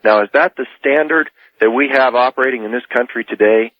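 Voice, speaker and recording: A man's voice: medium pitch, a little fast, no room sound. A man arguing politics insistently as a caller on a radio show, in a heated debate style. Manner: insistent, argumentative. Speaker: radio call-in guest. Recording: dull lo-fi radio call.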